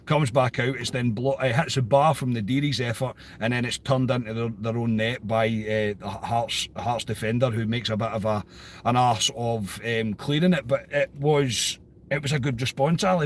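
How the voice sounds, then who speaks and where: Scottish guy hosting a footy podcast, bit croaky, chatty, faint hum behind. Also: mid-range voice, moderate pace. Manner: chatty, repeats phrases for emphasis. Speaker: podcast host. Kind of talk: casual football chat.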